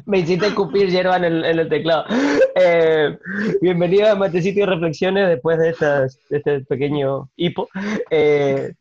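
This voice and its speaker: A man's voice: fairly high pitch, steady tone, moderate pace, darkish tone, no room sound. A man hosting a casual podcast, joking and playful with his guests. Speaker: podcast host. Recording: dull lo-fi recording.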